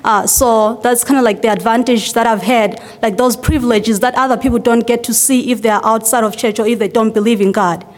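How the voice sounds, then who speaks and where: A young woman from Zimbabwe speaking to her congregation in a loose, chatty way, leaning on "like" and "uh". Her voice sits a little high. It carries the long reverb of a church hall. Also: fairly even intonation, fairly quick, hardly any pauses. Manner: chatty, many fillers like "like" and "uh". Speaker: church member giving a testimony. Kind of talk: casual spoken testimony to a congregation.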